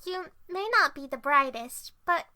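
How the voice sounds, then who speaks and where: A woman's voice: high voice, a little slow, bright, forceful tone, exaggerated voice. A woman playing a bratty but earnest little girl in a parody audio drama, blunt and pleading.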